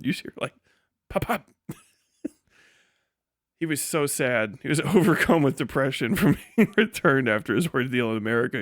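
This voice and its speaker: A man giving casual, rambling live commentary as a stream host, slangy and animated, acting out imagined exchanges. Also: a little high, no echo, studio quality. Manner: often chuckles mid-sentence. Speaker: livestream host and political commentator.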